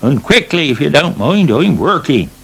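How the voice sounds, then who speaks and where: Old west country farm hand, grumbly and proud, gravelly, pitched high for a bloke. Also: male voice, very animated, moderate pace, full character voice. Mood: aggrieved. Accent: English West Country. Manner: rustic, grumbling. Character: older male farm worker, proud, grumbling, rustic. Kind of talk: radio soap acting.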